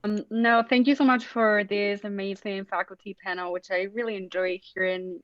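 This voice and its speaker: A woman's voice: medium pitch, fairly even intonation, normal speed, no room sound. A young woman from Spain with a Spanish accent, effusively closing a student event on a video call, with many restarts. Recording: dull lo-fi call audio.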